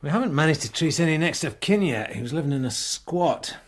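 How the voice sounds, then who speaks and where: An actor playing a polite, probing detective sergeant, casual and understated, in a radio drama. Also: male voice, a little high, expressive, brisk. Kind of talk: British radio drama acting.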